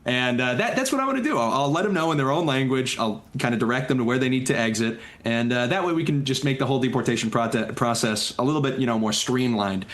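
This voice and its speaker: A man's voice: mid-range voice, lively intonation, fast, hardly any pauses, fairly bright tone. A young man from the United States talking casually as a talk show guest, with an easygoing, jokey manner. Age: young adult. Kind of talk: casual interview chat.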